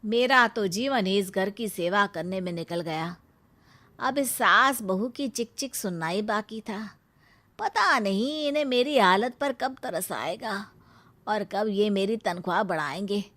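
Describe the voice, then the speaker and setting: A woman's voice: medium pitch, animated, measured pace, fairly bright tone, voice pushed down. A woman voicing a poor middle-aged male servant who grumbles about his pay and stammers when he is caught. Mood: worried. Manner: pleading, stammering, grumbling under his breath. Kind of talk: cartoon story voice acting.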